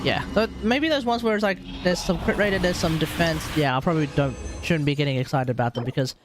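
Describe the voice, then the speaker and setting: Rambling game streamer over loud music. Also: medium pitch, fast. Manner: rambling, thinking aloud. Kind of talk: casual livestream gameplay commentary. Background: loud video game music.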